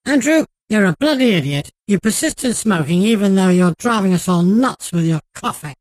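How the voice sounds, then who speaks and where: A man playing a thin, energetic male office colleague in a radio drama, bossy, brash and emphatic. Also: high-pitched, moderate pace, dry recording, voice pushed up, rough recording. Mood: exasperated, bossy.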